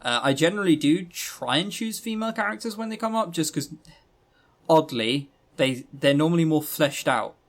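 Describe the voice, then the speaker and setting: A man's voice: a little high, animated, moderate pace. A man co-hosting a podcast, chatting and reviewing games in a rambling, opinionated way with casual swearing. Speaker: podcast co-host. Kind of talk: casual chat, game review.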